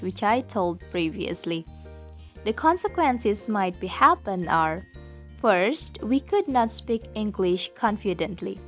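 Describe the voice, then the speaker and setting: A young woman with an Indonesian accent, a student presenting in careful, rehearsed learner's English. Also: mid-range voice. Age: young adult. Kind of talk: prepared presentation.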